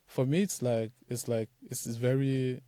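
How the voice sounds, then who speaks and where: A man's voice: mid-range voice, little pitch movement, moderate pace, dark tone, no echo. A young man from Nigeria, a startup founder talking loosely in an interview, full of fillers like "like" and "man". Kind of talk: casual interview talk.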